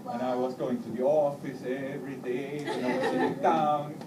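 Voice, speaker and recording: A man's voice: medium pitch, normal speed. A man, an airline pilot giving a playful talk to children and quizzing them. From Austria. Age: 40s. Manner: lively, teasing, quizzes the audience. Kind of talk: playful talk to a young audience. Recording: roomy, distant mic, loud crowd and noise.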